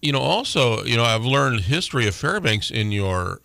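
A man interviewing a guest in a relaxed, chatty way, dropping in short remarks and asides. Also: mid-range voice, normal speed, gravelly, no echo. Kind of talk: casual interview talk.

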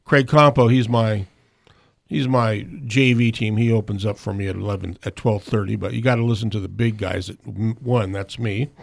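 Raspy-voiced radio host, man. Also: fairly low pitch, steady tone, normal speed, no echo, studio quality. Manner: jokey, interjecting. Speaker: radio talk show host. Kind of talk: casual radio talk. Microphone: studio microphone.

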